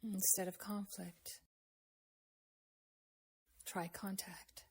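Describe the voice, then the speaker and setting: A woman leads a guided meditation in a gentle, unhurried manner, leaving long pauses between phrases. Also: medium pitch, little pitch movement, breathy edge. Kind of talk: slow guided meditation, with a poem recited.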